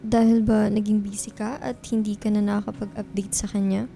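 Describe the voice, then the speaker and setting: A woman's voice: medium pitch, flat delivery, a little fast, vocal fry, warm tone, close to an everyday voice. An actress playing a young woman who is a loyal, guarded best friend, casual and reassuring, in a radio drama. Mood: concerned, guarded. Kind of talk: radio drama acting in casual Filipino talk.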